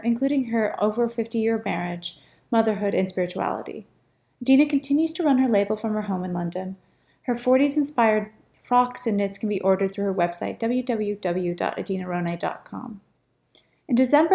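A woman's voice: medium pitch, vocal fry, mellow. A woman hosting a podcast, reading a scripted introduction and promoting her newsletter and website. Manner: read-aloud promotional delivery. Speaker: podcast host.

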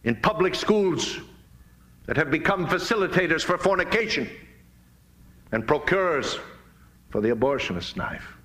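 An American man preaching in a dramatic, deliberate oratorical style, with emphatic swells, long pauses and hushed phrase endings. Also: on the high side, animated. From United States. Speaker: pastor, guest speaker. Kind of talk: fiery sermon-like political speech to a live audience.

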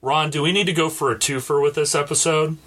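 A man's voice: fairly high pitch, fairly even intonation, fast, fairly bright tone, a little hiss or hum. A man hosting a podcast, explaining things casually in a stop-and-start, conversational way and checking that his listener follows. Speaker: podcast host.

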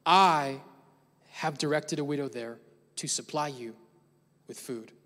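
A man's voice: fairly high pitch, measured pace, pushed and bright. A young adult man preaching a sermon as pastor, telling a story casually and building to emphatic points. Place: large church hall. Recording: long church hall reverb.

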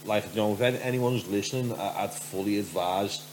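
A man from Liverpool with a softened Scouse accent and a deep voice, telling stories casually as a podcast guest. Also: brisk, slight background noise, low-quality recording. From Liverpool, England. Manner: rambling storyteller, frequent "do you know what I mean".

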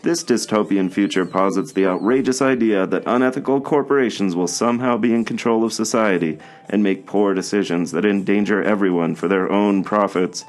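A man's voice: lowish voice, fairly quick. A man co-hosting a podcast, reading a scripted comedy list of robots in a dry, sarcastic way. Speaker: podcast co-host.

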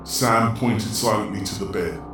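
A man's voice: lowish voice, unhurried. A man giving a dramatic, scripted narration of a horror story. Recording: echoey, distant, under prominent music. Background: prominent music.

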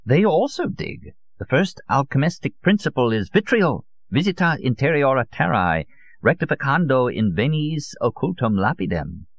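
A man performs an elderly, fervent storybook crank who lectures emphatically. The voice is pitched well up and leaps about in animated swoops. The recording is dull and muffled. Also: normal speed, no echo. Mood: lecturing. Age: older. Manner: emphatic, rhetorical, rambling monologue. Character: elderly Russian male conspiracy theorist, fervent, nostalgic taxidermist. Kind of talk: dramatised audiobook character.

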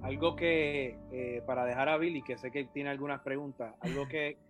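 A man with a Puerto Rican accent speaking earnestly and haltingly in a casual church discussion. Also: medium pitch, steady tone, fairly bright tone, faint music behind. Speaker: panelist.

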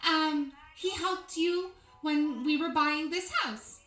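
In an echoey room, a high female voice of an actress plays a film noir housewife. She is sugary and placating, yet nervous, hesitant and evasive. She sounds away from the mic, with music behind. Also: slow, a bit bright. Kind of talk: improvised film noir audio drama. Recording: roomy echo, distant mic, music behind.

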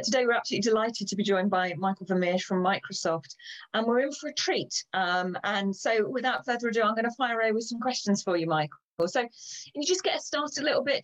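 A woman co-hosts a podcast and greets her guest with enthusiasm, talking quickly. Her voice is a little higher than average, and the sound is slightly dull and lo-fi. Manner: enthusiastic and welcoming. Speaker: podcast co-host. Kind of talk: podcast hosting.

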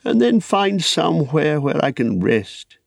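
A man acts out an old male hobbit from a storybook, his voice pitched high and swooping widely. He is fretful, wistful and stubbornly possessive, rambling emphatically with querulous hesitations. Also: big pitch swings, a little slow, gravelly, dry recording, heavily acted. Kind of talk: dramatised storybook reading.

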